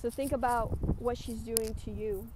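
A woman leading a group guided meditation, giving gentle, instructive cues. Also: fairly high pitch, steady tone, moderate pace. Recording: clear background noise, ambient sound.

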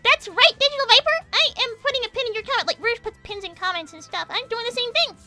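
A woman's voice: very high-pitched, quick pace, exaggerated voice. A woman voicing a fiery, protective, self-assured young female hedgehog, emphatic and dramatic, in improvised cartoon livestream roleplay. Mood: smug. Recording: loud music behind.

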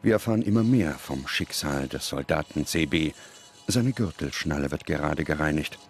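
A man reading scripted documentary narration in a measured, dramatic manner. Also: a bass voice, animated.

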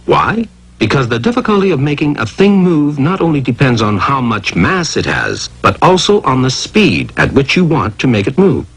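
A man delivers scripted educational cartoon narration with theatrical emphasis. His pitch swings widely from phrase to phrase, and his voice has a husky edge. He poses rhetorical questions as he explains. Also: a bit bright, low-quality recording.

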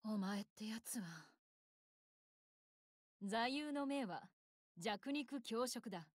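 A woman's voice: on the high side, takes it slowly, dry recording, studio quality. An actress playing a proud, battle-hungry young warship girl in an anime game, speaking in a blunt, self-assured, tomboyish way. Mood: brusque, impatient. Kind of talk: Japanese anime game voice lines.

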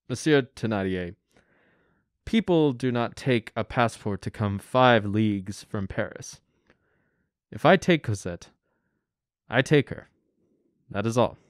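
An actor playing a stern, secretive fugitive in a radio drama, speaking in a firm, terse, commanding way. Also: male voice, on the low side, no echo, clean studio sound. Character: stern, secretive, resolute male fugitive protecting a child.

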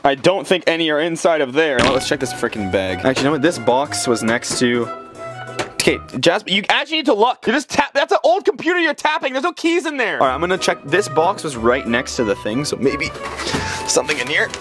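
A man's voice: fairly high pitch, sing-song, a little fast, music in the background. A man chats casually and playfully as a vlog host, exclamatory and theatrical. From Canada. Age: 20s. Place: indoors, bedroom and cramped basement crawl space.

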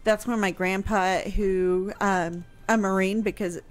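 A woman's voice: medium pitch, fairly even intonation, a little slow. A middle-aged American woman hosting a casual radio talk show, speaking close to the microphone. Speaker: radio show host answering history questions. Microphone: close microphone on boom arm, headphones.

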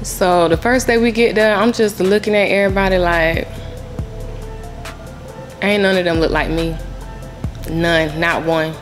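A woman in her late twenties from Florida talks in a lowish voice with a blunt, easy manner. Clear music runs under her confessional. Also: fairly even intonation, measured pace, long pauses. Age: 20s. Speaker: reality show cast member.

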